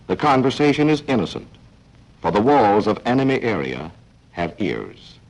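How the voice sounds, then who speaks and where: A man narrating a 1940s training film in a dramatic, clipped style with deliberate pauses. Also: on the low side, very animated, low-quality recording. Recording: 1940s film soundtrack with faint music.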